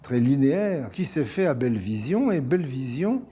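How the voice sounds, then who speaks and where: A man's voice: mid-range voice, big pitch swings, normal speed, mellow, husky. An older man telling anecdotes in an interview about his work in animation. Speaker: animation writer recalling productions.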